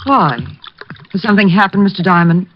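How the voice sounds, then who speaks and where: A woman's voice: mid-range voice, brisk, lightly acted. An actress playing a polite, worried sister of a missing man, speaking with genteel anxiety in an old radio drama. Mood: polite, anxious. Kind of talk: 1940s radio drama acting. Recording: dull vintage radio recording.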